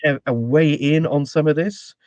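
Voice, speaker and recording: A man's voice: a little high, moderate pace, a little mellow, a little hiss or hum. A man hosting a podcast in casual chat, rambling with many ums and restarts before bringing on a guest. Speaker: podcast host.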